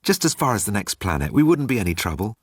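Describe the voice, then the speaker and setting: A man's voice: fairly low pitch, sing-song, fast talker, husky, no room sound, studio quality. A man voicing a persistent, polite female news reporter in an audio drama. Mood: ingratiating. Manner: polite, ingratiating.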